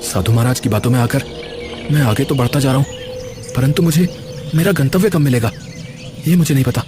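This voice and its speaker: A man's voice: medium pitch, fairly quick, close to an everyday voice. A man playing a poor, humble young goatherd in a cartoon story, earnest and questioning in a formal way. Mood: weary, pondering. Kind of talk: Hindi cartoon story character dialogue. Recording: music behind the voice.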